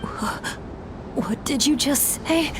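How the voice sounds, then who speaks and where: A plucky young boy hero speaks very slowly in a breathy, earnest voice. He is defiant and halting, a game adventurer over clearly noisy ambience. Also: high voice, expressive. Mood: shocked. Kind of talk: dramatic video game acting.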